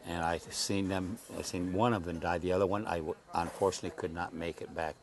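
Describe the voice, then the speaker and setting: A man in his eighties, a guest on a talk show, speaking slowly and haltingly and repeating words as he searches for them. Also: low voice, fairly even intonation, noisy background. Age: 80s. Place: Saipan.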